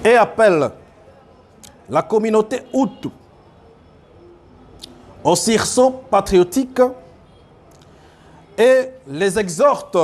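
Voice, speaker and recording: A man with a Congolese French accent, formally reading out a declaration as a spokesman in a halting, deliberate way. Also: high voice. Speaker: spokesman reading a youth group's statement.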